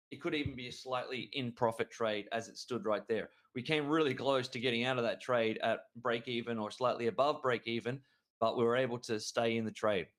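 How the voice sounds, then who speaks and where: A man co-hosting a trading show, his voice sitting at an ordinary middle pitch. He talks with eager excitement, rambling through his analysis and often restarting mid-sentence. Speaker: crypto trading show co-host. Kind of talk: enthusiastic market analysis chat.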